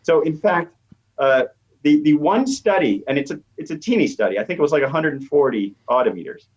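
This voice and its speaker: A man's voice: on the high side, lively intonation, a little fast. A man explaining research as an academic guest in an interview, eager and emphatic, on lo-fi audio. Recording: dull, lo-fi remote audio.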